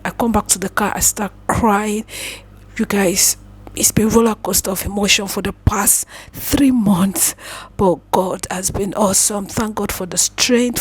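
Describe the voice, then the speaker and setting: A woman's voice: mid-range voice, darkish tone. An earnest, emotional Nigerian woman whose first language is Igbo tells a personal story in her vlog, often repeating phrases. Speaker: vlogger.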